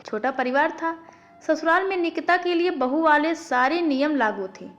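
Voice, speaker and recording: A woman's voice: high-pitched, moderate pace, highs cut off. A woman storyteller reading a scripted family moral story in audiobook narration. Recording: faint background music. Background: faint music.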